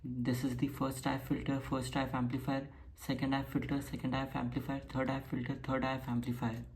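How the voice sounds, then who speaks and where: A woman's voice: very deep voice, fast. A woman giving an informal technical demonstration, speaking in short, plain statements that she often repeats. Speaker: hobbyist radio builder presenting. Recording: noisy home recording with steady hiss.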